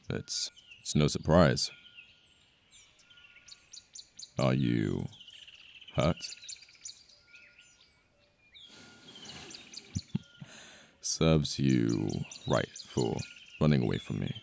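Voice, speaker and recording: Very deep, slow British mafia boss, acted by a man. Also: a little mellow, heavily acted. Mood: taunting. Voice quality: deep, gravelly. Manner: slow, intimate, breathy sighs and pauses. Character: possessive, protective male mafia boss, teasing and tender. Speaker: voice actor. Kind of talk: ASMR romance roleplay. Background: birdsong, outdoor ambience effects.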